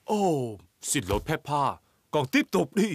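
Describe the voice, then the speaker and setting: A man's voice: a little high, big pitch swings, unhurried, slightly rough, no room sound, heavily acted. A man voicing a cheerful, goofy, affectionate father pig in a dubbed children's cartoon. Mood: excited, jolly.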